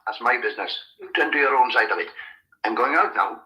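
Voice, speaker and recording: A loyal country farmhand in a radio play. He is plain-spoken and folksy, with a middling pitch and a very bright, forceful edge, heard through a tinny radio-like sound. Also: expressive, brisk. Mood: brisk, cheerful. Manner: folksy rural dialect phrasing. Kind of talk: radio drama acting.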